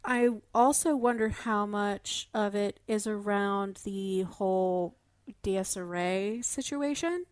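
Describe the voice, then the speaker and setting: A woman's voice: mid-range voice, slow, darkish tone, no room sound. Woman theorizing in a close, dry recording. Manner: thoughtful, hedging, building theories step by step. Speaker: podcast panelist discussing a fiction show. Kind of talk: casual analytical discussion.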